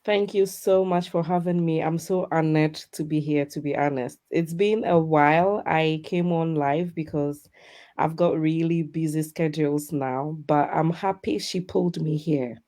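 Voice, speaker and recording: Female interview guest, slightly low pitch, warm dark timbre, casual conversational delivery. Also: steady tone, unhurried. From Ghana. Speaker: guest, digital business mentor.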